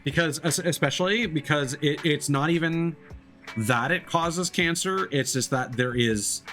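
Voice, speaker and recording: A man's voice: on the high side, unhurried, fairly bright tone. A man casually commentating on his live gameplay in a rambling way with dry humour. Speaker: game streamer. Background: game music.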